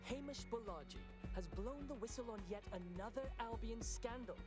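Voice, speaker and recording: An actress playing a serious news reporter reading scripted broadcast bulletins. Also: female voice, a little high, normal speed, close to an everyday voice. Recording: music bed under voice. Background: music.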